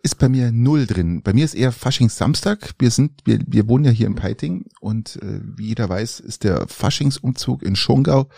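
A man's voice: medium pitch, expressive, normal speed, gravelly, few pauses, dark tone, no echo, studio quality. A man with a Bavarian accent co-hosting a casual podcast, chatty and teasing, often ending sentences with a tag question. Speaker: podcast co-host.

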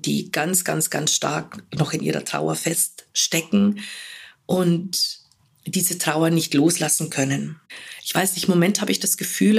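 A woman talks in an unhurried, earnest monologue, her voice hoarse and husky from a cold. There is a gravelly edge to her lowish voice, and she leans on soft fillers and repeated words. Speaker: podcast host, animal communicator. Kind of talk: solo podcast monologue.

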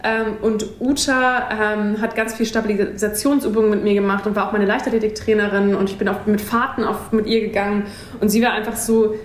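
A woman's voice: medium pitch, steady tone, brisk, few pauses. Chatty woman in echoey room. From Kiel, northern Germany. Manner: chatty, laughing, often restarting with "ähm". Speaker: fitness instructor guest. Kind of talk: casual interview answers. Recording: echoey room with faint noise.

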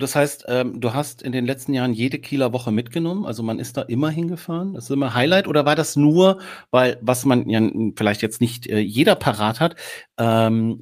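A man hosting a podcast in casual chat, talking loosely with many filler sounds. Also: mid-range voice. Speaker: podcast host.

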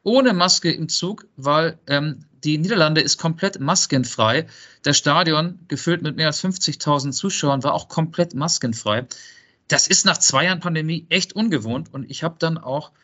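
A man co-hosting a casual football podcast and acting as quizmaster in relaxed conversation. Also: a little high, normal speed, highs cut off.

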